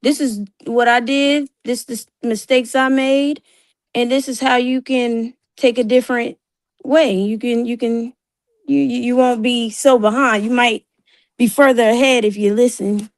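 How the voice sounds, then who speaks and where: A thirty-year-old woman talks earnestly as a guest on the panel. Her voice is a bit high and is recorded close and dry. She chats along with plenty of fillers. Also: steady tone, measured pace, no room sound. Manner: earnest, chatty, uses fillers. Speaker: church youth worker, guest. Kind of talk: casual discussion.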